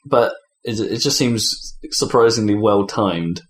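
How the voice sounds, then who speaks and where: A man's fairly deep voice rings in a very echoey room. He hosts a casual podcast chat about games, inquisitive and often thinking out loud as he talks. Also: unhurried. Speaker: podcast host.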